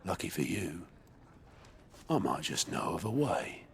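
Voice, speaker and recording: An actor playing a sardonic underworld informant in a video game, sly and colloquial, with a British accent and gravelly voice. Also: male voice, sits low, big pitch swings, unhurried, slight background noise, heavily acted. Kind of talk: video game cutscene acting.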